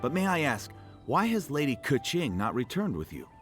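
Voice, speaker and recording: An actor playing an earnest, dutiful town guard in a video game, polite, formal and reassuring. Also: male voice, mid-range voice, sing-song, normal speed, over loud music, lightly acted. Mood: calm, courteous. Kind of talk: video game story dialogue.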